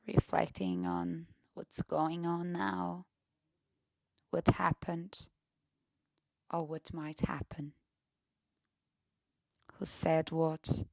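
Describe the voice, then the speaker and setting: Female meditation guide: lowish, very gravelly fry, gentle and hushed delivery, recorded close and dry. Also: no room sound.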